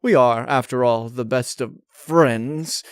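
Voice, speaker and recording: A man's voice: mid-range voice, takes it slowly, clean tone, no room sound, studio quality, voice pushed up. A man voicing a genteel, dramatic female pony who is falsely sweet and scheming, with an affected, ladylike, gushing manner. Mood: inviting.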